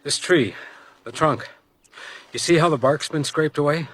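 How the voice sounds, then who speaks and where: Terse, world-weary radio detective. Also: male voice, on the high side, unhurried, a bit bright, breathy edge. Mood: matter-of-fact. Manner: terse, dry, understated. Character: world-weary, stoic male detective, defiant and blunt. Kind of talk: radio crime drama acting.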